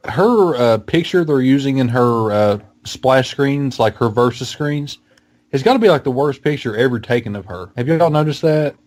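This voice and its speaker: A man's voice: mid-range voice, animated, normal speed, a little mellow. A man with a Southern American accent, co-hosting a podcast and chatting casually in a rambling, hesitant way full of fillers. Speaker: podcast co-host. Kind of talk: casual chat.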